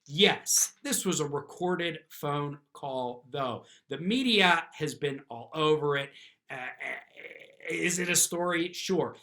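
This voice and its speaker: A man's voice: on the high side, lively intonation, slow, sharp tone. A man giving unscripted opinion commentary as a lawyer, posing questions and answering them himself, with emphatic check-in tags. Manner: emphatic, often tags points with "okay?". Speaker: lawyer commentator, video host.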